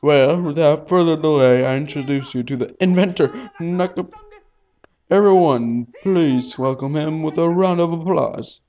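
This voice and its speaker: A man voicing a formal press conference announcer in a visual novel, projecting in a ceremonious, drawn-out way. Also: on the high side, dark tone, lo-fi sound, pitched above the performer's own voice. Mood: formal, welcoming.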